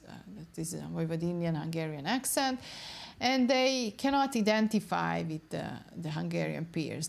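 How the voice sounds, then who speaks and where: A young woman with a low voice roughened by vocal fry answers interview questions about her research. She explains carefully and often pauses to search for the right word. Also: darkish tone.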